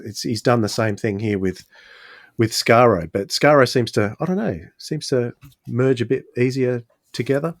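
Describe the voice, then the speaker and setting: A man's voice: on the low side, no room sound. Man hosting a podcast, dry close sound. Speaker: podcast host. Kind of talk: podcast presenting and discussion.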